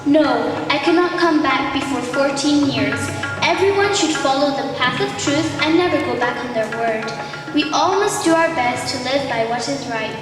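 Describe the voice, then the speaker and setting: A woman's voice: high voice, expressive, normal speed. A female performer playing a dutiful, respectful young prince in a stage play in an echoey hall. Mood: earnest. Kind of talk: school stage play acting. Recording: echoey gym hall, distant, music behind.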